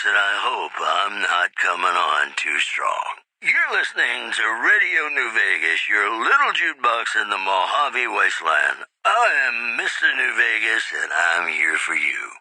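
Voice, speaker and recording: A smooth-talking wasteland radio host. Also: male voice, lowish voice, big pitch swings, unhurried, few pauses, bright, forceful tone, no room sound, heavily acted, rough recording. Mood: crooning. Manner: velvety, charming crooner delivery. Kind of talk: crooning radio announcer lines.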